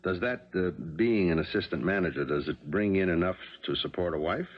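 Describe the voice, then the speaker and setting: A genial rich middle-aged dad, deep voice, asks careful questions, old radio drama, muffled. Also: male voice. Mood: probing. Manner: urbane, deliberate, questioning. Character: genial but probing wealthy father. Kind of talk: 1970s radio drama acting. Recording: dull 1970s radio recording.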